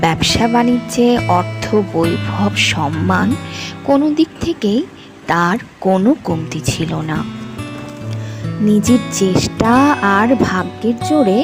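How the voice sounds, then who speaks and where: A woman reading a scripted motivational story as its narrator. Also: mid-range voice. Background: music.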